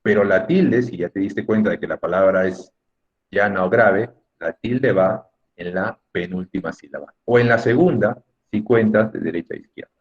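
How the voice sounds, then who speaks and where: Low-pitched male teacher, dull audio. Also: moderate pace. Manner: didactic, emphatic, repeats key words, checks in with tag questions. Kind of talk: Spanish lesson on accent rules for students.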